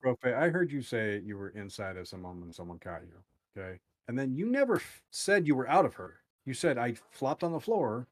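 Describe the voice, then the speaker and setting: A man's voice: medium pitch, sing-song, brisk, no echo. A man guesting on a casual podcast, joking around and filling his talk with likes and you-knows. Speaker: guest streamer.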